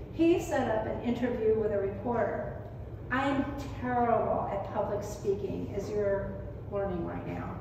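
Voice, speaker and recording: Older lady reading out a personal talk at a lectern, super echoey room, mic far off. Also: mid-range voice. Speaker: speaker at a skepticism conference. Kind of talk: prepared personal talk, read from notes. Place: meeting room, at a lectern, indoors. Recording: very echoey hall, distant mic, noisy.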